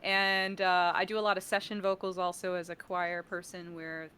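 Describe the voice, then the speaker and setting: A woman chatting casually as a guest on a stream, animated and self-mocking, often acting out little quotes. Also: lowish voice, normal speed, clean tone. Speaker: guest, voice artist and sound designer. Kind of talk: casual interview chat on a live stream.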